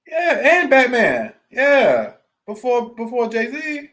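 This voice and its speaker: A man's voice: very high-pitched, huge pitch swings, slow pace, a bit bright. A man co-hosting a casual podcast, talking in a blunt, opinionated way with informal, slangy phrasing. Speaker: podcast co-host. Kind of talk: casual conversation.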